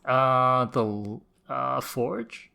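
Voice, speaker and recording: A man's voice: medium pitch, drawn-out words, gravelly, bright, forceful tone, clean tone. A man co-hosting a podcast, chatting casually and bantering about video games. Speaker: podcast co-host.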